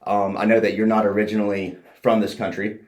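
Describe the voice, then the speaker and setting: A man's voice: on the low side, level tone, brisk. A man hosting a casual podcast interview, asking his guest questions in a chatty, friendly way. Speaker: podcast host. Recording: roomy echo.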